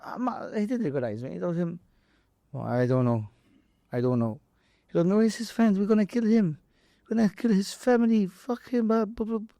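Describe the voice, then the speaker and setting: A man's voice: sits high, fairly quick, gravelly, mellow, no echo. A Maltese man with a Maltese accent and non-native English, casually telling stories and acting out dialogue and impacts. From Malta. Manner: acts out dialogue and impact sounds. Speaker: boxer and boxing trainer, interview guest. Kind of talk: casual animated storytelling.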